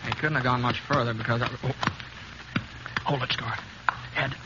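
An actor playing a steady, laconic gunslinger drifter in a 1950s radio western, with understated, folksy Western delivery. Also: male voice, mid-range voice, normal speed, natural voice. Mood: tense, watchful. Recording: muffled, noisy 1950s radio recording.

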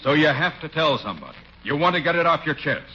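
An actor playing a pushy, wisecracking police desk sergeant in a 1940s radio comedy. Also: male voice, on the high side, very animated, fast talker, sharp tone. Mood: needling. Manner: brash, nagging. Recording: muffled, hissy 1940s radio recording.

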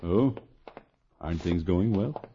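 A man's voice: sits low, lively intonation, slow, dark tone, slight background noise, exaggerated voice. A man playing a pompous, genial, easily flustered middle-aged uncle who hems and haws in a 1950s radio sitcom. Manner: pompous, chuckling, hemming and hawing. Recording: muffled old radio recording.

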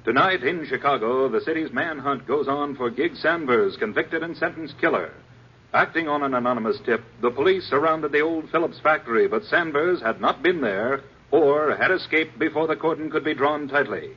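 An American actor playing a brisk, formal news announcer in a 1950s radio drama. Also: male voice, fairly high pitch, natural voice. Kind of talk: scripted 1950s radio newscast. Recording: noisy, muffled old radio recording.

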